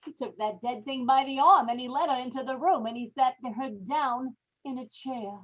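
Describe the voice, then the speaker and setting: A woman's voice: sits high, moderate pace, dull audio. A woman playing a scheming, guilt-ridden murderous wife in an old time radio horror play, with dramatic, folksy, emphatic delivery. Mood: haunted, confessing. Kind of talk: old time radio horror acting, confessional narration and dialogue.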